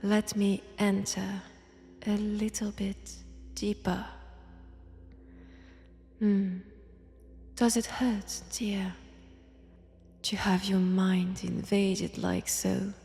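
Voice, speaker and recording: A woman with a French accent playing a menacing, seductive female vampire in an intimate roleplay audio drama, softly taunting and often whispering. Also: mid-range voice, level tone, extremely slow, gravelly, long pauses, slightly rough, exaggerated voice. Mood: teasing, probing.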